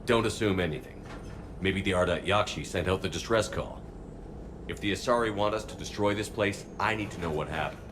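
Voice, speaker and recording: An actor playing a firm, persuasive military commander in a video game. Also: male voice, sits low, fairly quick, noisy background, close to an everyday voice. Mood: questioning, serious.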